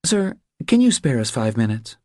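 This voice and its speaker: A courteous woman agent in an audiobook, acted out by a man. The pitch is raised and lively, and the manner is official and businesslike while staying polite. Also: normal speed, no echo, clean studio sound, natural voice. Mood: courteous, casual. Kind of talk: audiobook character dialogue.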